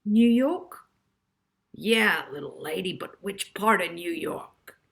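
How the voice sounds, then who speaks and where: A woman voicing a brisk, helpful female taxi rank attendant with a Brooklyn accent in a storybook reading. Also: on the low side, expressive, creaky, exaggerated voice.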